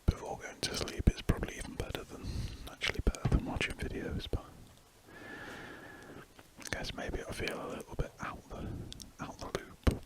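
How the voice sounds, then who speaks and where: Soft rambling ASMR whisperer. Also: normal speed, rough recording. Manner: soft unvoiced whisper, rambling and self-correcting. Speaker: ASMR drawing channel host. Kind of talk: whispered ASMR chat while drawing.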